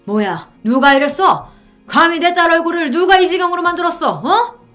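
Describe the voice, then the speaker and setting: A woman's voice: extremely high pitch, lively intonation, normal speed, fairly bright tone, soft background music, pitched above the performer's own voice. A woman voicing an outraged, protective older father demanding answers in a dramatized story.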